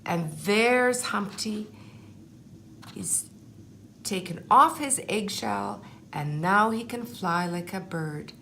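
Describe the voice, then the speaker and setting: A woman reading a picture book aloud expressively, pausing to comment on the pictures. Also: mid-range voice, vocal fry, fairly bright tone, a little hiss or hum. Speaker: school superintendent reading aloud.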